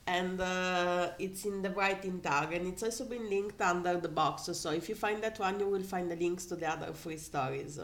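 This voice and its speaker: A woman reading a story aloud casually, in an animated way, breaking off to add comments of her own. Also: mid-range voice. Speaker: fan fiction reader.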